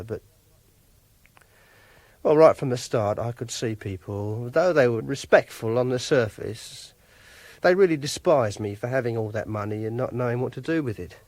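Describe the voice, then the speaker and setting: An actor playing an obsessive, lonely, self-pitying English clerk, confiding haltingly in a first-person radio drama monologue. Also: male voice, mid-range voice, expressive, darkish tone, no room sound, lightly acted. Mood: bitter, resigned.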